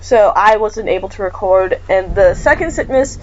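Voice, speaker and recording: A woman's voice: medium pitch, expressive, moderate pace, muffled recording. A woman hosting a casual let's-play chat, apologetic and chatty, still getting over a cough. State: recovering from a cough. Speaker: gaming channel host.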